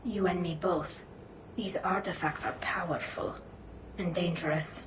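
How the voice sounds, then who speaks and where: An actress playing a grave, pensive squadmate in a video game, musing in a hushed voice. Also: female voice, on the low side, steady tone, unhurried, noisy background, lightly acted. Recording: echoey, music behind.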